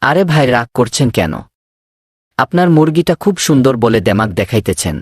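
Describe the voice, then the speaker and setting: A man voicing a pushy swindler in a cartoon, husky, insistent and wheedling. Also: medium pitch, very animated, normal speed, no room sound, studio quality. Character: pushy male swindler posing as eager chicken buyer. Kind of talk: cartoon dubbing.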